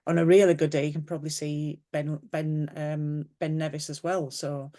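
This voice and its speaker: A woman talks casually as an interview guest over a video call. Her voice sits on the low side, and she rambles warmly, full of fillers and restarts. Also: level tone, moderate pace. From Yorkshire, England. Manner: chatty and warm, many fillers and restarts. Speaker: author guest.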